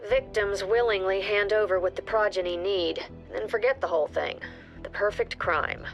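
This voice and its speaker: A composed female agency director from a video game, voiced by a woman. She gives mission briefings in a measured, commanding way. Soft music plays beneath her voice. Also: medium pitch, close to an everyday voice, rough recording.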